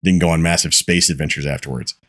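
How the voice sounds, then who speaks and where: A man hosts a casual podcast, his sinuses clogged and his voice starting to go. He talks in a low register, wandering and cursing as he chats. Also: steady tone, fast talker, a bit bright. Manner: rambling, profane, laid-back. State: congested, losing his voice. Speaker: podcast host.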